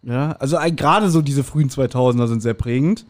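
A man's voice: mid-range voice, a little fast. A middle-aged man, co-hosting a podcast in casual conversation. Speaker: podcast co-host. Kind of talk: casual chat.

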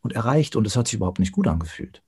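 A man speaking reflectively as an interview guest, thoughtful and often pausing to search for words. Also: on the low side, sing-song, mellow, breathy edge. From Germany.

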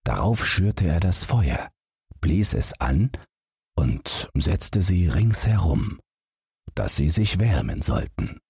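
Male fairy-tale narrator: slow, breathy-husky, close and dry, bedtime audiobook style. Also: deep voice, big pitch swings, darkish tone, no room sound.

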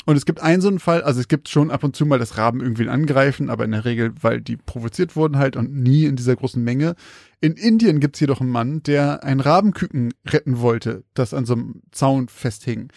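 A man's voice: mid-range voice, fairly quick, creaky, darkish tone, no room sound, clean studio sound. A man chatting casually as a podcast co-host, telling things in a colloquial storytelling way.

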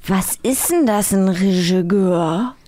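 A woman's voice: mid-range voice, takes it slowly, warm tone, pitched below the performer's own voice, lightly acted. A woman voicing a naive, kind elephant in a radio play reading, innocent and slightly puzzled. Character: naive, kind, happy male talking cartoon elephant.

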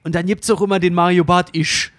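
A man's voice: high voice, little pitch movement, fairly quick, dry recording. Crude joking Berlin man. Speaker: podcast co-host. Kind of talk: casual podcast banter.

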